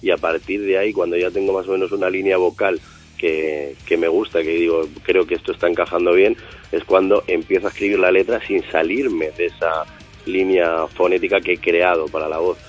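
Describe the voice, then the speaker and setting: A male singer answering interview questions in a relaxed, rambling way, thinking aloud with fillers. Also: sits low, a little fast, soft background music. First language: Spanish. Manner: thoughtful, rambling with fillers and restarts. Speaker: singer of a band, interview guest.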